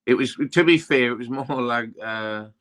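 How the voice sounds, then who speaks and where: A man's voice: medium pitch, normal speed, fairly bright tone. A young Welsh man, a tarot reader, chatting in a rambling, laid-back way, full of "you know what I mean". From Wales. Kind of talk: casual interview chat.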